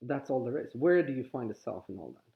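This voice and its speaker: A man with a Swedish accent, whose first language is Swedish, calmly guiding listeners through a contemplative teaching, often pausing and restarting his sentences. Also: mid-range voice, vocal fry. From Sweden. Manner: calm, halting, with frequent restarts. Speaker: spiritual teacher. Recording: slightly dull lo-fi audio.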